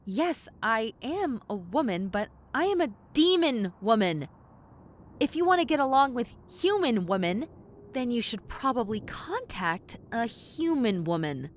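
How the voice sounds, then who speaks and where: A woman's voice: fairly high pitch, big pitch swings, a little slow, a little hiss or hum. A woman playing a centuries-old tomboy succubus in an audio roleplay, wry and flustered but kind, talking casually to the listener. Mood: exasperated.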